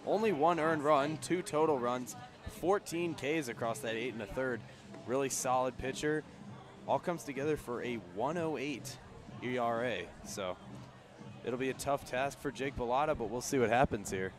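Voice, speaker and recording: A man's voice: medium pitch, moderate pace, music in the background. A man working as a color commentator on live baseball radio, running through analysis and statistics. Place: press box at an outdoor ballpark.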